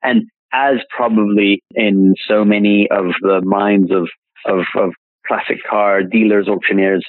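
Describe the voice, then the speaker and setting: A man's voice: on the low side, steady tone, a little slow, no echo. A man being interviewed as a classic car dealer, rambling with many restarts and repeated words. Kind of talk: casual interview chat. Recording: tinny phone-line audio, dry.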